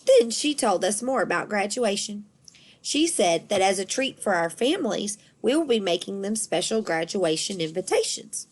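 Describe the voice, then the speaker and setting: A woman reading a children's book aloud in an expressive, animated storytelling manner for young listeners. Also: mid-range voice, moderate pace.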